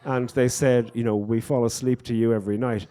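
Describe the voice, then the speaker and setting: A man's voice: medium pitch, fairly even intonation, fairly quick, mellow. An Irish man, a poet, telling wry stories and reflecting in a live on-stage conversation at a bookstore event. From Ireland. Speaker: poet and guest speaker.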